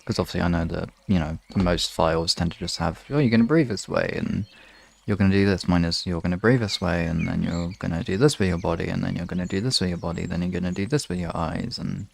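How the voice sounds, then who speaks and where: A man's voice: deep voice, fairly even intonation, fast, vocal fry, slight background noise. A man chatting casually on a live stream in a hesitant, rambling, self-questioning way, as host of relaxation sessions. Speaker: hypnosis ASMR streamer.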